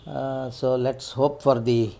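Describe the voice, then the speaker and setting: A middle-aged man with an Indian accent and a mid-range voice presents a product unboxing. He speaks off the cuff as a vlogger, setting out his points piece by piece in halting phrases. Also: medium pitch, flat delivery, vocal fry, dark tone, a little hiss or hum. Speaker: vlogger reviewing rider gear. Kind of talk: unscripted unboxing commentary.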